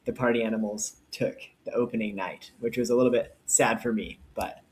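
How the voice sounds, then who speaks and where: A man's voice: on the low side, steady tone, brisk, slight background noise, rough recording. A man co-hosting a podcast, telling stories casually and with enthusiasm. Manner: enthusiastic storyteller. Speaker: podcast co-host.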